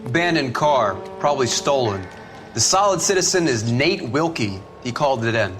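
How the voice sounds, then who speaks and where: An actor playing a matter-of-fact, slangy patrol officer giving a clipped briefing in a crime game. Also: male voice, medium pitch, sing-song, normal speed, music in the background, close to an everyday voice. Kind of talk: cinematic game acting, police briefing.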